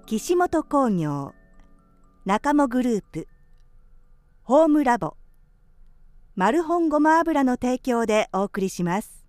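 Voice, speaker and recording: A woman's voice: high voice, big pitch swings, takes it slowly, long pauses, darkish tone, soft background music. A woman reading a scripted sponsor announcement on radio in a polite, carefully phrased announcer manner.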